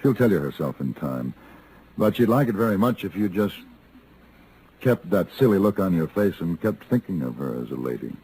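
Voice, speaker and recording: An actor playing a suave, wry gentleman gunfighter, polished and dry, in a 1950s radio western. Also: low-pitched, big pitch swings, moderate pace, a little hiss or hum, rough recording. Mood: gently wry.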